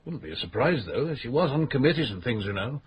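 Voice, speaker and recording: A man's voice: mid-range voice, big pitch swings, fast talker, husky. An actor playing a fussy, evasive widowed middle-aged man in a radio drama, hesitant and full of filler sounds. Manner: hesitant, full of uhs, flustered. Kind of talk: 1970s-80s radio drama acting.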